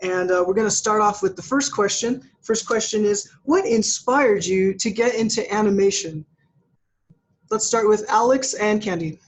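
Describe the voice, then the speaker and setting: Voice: mid-range voice, moderate pace. Upbeat panel moderator on a call. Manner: upbeat, brief interjections. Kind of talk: hosting an online artist panel. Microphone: video call. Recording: slightly muffled call audio.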